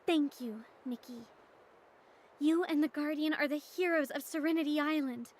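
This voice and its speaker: A woman voicing a serious, dutiful townswoman in a video game, explaining things gravely and in measured phrases. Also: high-pitched, a little mellow, close to an everyday voice. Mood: resolute. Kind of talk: video game dialogue acting.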